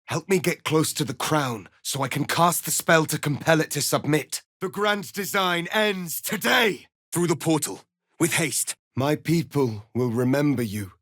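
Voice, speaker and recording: A man playing a proud, solemn alien warrior prince in a fantasy video game, speaking in a formal, commanding, deliberate way. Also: a little high, a bit bright, no room sound, clean studio sound. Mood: fierce, rousing. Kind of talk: dramatic fantasy game acting.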